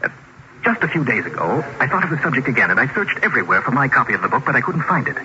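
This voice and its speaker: An actor playing an earnest, troubled gentleman in an old-time radio drama, speaking formally and urgently. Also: mid-range voice, very animated, racing. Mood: polite, eager. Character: earnest, troubled gentleman telling a strange tale. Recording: noisy, muffled old radio recording.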